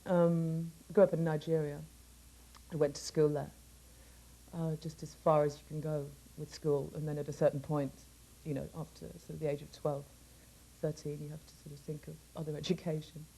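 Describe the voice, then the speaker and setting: A middle-aged woman singer from England talking casually about her life in an interview, laughing often and letting her sentences trail off softly. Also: low-pitched, flat delivery, moderate pace, vocal fry, slightly rough, rough recording.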